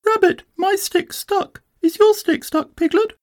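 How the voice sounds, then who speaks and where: A storybook reader voicing a small, eager, excitable young kangaroo boy in a very high voice. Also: unhurried, no room sound, studio quality. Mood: eager, excited. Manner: eager, breathless repetition. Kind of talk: storybook character voice.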